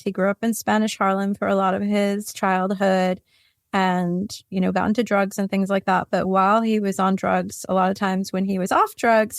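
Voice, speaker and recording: Gravelly woman from Puerto Rico. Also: medium pitch, no room sound, studio quality. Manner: reflective, easygoing storytelling. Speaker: guest, career coach. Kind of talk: conversational interview answers.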